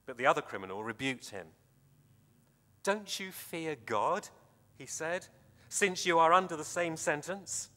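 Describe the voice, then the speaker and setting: A man preaching a sermon in a measured, deliberate way with dramatic emphasis, his voice echoing in a hall. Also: on the high side, lively intonation, sharp tone. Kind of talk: sermon with scripture reading. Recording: reverberant hall, long echo.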